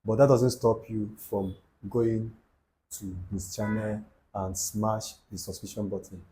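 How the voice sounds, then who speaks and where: Young nigerian guy w igbo accent, warm dark voice, preaching at the camera, pidgin bits thrown in. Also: lowish voice, fairly even intonation, unhurried, a little hiss or hum. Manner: preachy, repeats himself, Pidgin asides. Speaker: YouTube channel host. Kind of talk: motivational talk to camera.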